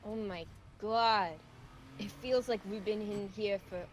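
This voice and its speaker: A woman's voice: mid-range voice, fairly even intonation, measured pace, lightly acted. A teenage woman acting a blunt, impatient, reckless young survivor in a radio theatre play. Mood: sarcastic, insistent. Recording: echoey room, faint noise.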